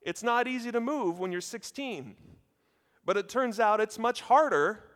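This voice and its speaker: Loud, animated American preacher in his thirties. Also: high voice, moderate pace. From United States. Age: 30s. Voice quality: loud, projecting voice. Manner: conversational, animated, addresses listeners directly. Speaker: preacher at a seminary chapel. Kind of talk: sermon. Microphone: handheld or lapel mic. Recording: hall reverb.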